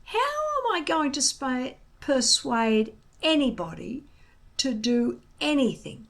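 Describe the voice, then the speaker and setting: A woman's voice: high voice, sing-song, takes it slowly, fairly bright tone, pitched above the performer's own voice, heavily acted. A woman voicing a gloomy, unheroic young Viking boy in a children's storybook, complaining in a plaintive, emphatic way.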